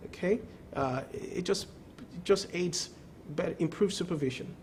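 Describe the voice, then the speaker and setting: A man with a fairly high voice answers a TV business interview as a credit bureau executive. He talks emphatically, stumbles and repeats words, and keeps checking with "okay?". Faint room ambience sits under his voice. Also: normal speed, a little hiss or hum. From not from the country of the show.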